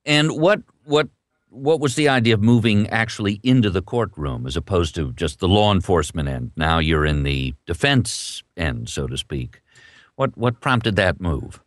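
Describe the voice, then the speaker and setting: Very deep-voiced man, animated interviewer. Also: normal speed, dry recording, studio quality. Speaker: interviewer, audiobook narrator. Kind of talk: hosted author interview.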